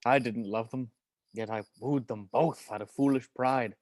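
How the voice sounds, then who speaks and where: A man's voice: mid-range voice, fairly even intonation, normal speed, darkish tone, dry recording, natural voice. Man playing a flamboyant, melodramatic patriarch. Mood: anguished confession. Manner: theatrical, dramatic. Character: flamboyant, melodramatic cigar-smoking patriarch, passionate and impulsive. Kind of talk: table read of a comedy film script.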